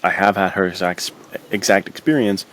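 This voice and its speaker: Deep-voiced man chatting casually, slight hiss. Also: moderate pace, fairly bright tone. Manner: slangy, buddy-like talk peppered with "dude" and "like". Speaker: podcast co-host. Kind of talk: casual film chat.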